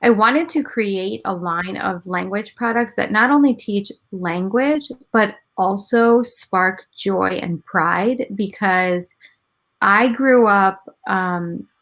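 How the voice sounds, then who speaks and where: A woman in her thirties whose first language is Gujarati talks in a relaxed interview, acting out her own inner thoughts as she goes. Her voice sits at a middle pitch with plenty of vocal fry. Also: slow. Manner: animated storytelling, quoting her own thoughts. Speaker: guest, entrepreneur and mother. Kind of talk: casual interview conversation.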